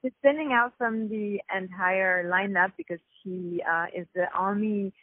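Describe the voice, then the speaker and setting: A woman from Paris with a French accent, a festival founder explaining things in a measured way over the phone. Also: medium pitch, dry recording. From Paris, France. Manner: measured, explanatory, many fillers. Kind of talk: phone interview. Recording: tinny phone line, dry.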